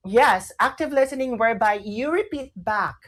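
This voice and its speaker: A woman's voice: fairly high pitch, expressive, normal speed, a bit bright. A woman with a Filipino accent co-hosting a radio talk show in casual chat, explaining emphatically and often repeating herself for stress.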